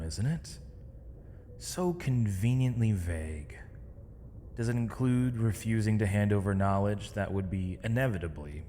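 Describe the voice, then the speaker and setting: A man playing a captured mage in an audio roleplay, defiant and weary, speaking in measured, sardonic, formal phrases. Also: on the low side, a little mellow, natural voice. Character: captured male mage, defiant, weary, eloquent, accused villain. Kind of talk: scripted ASMR audio roleplay. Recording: long reverb, clear background noise.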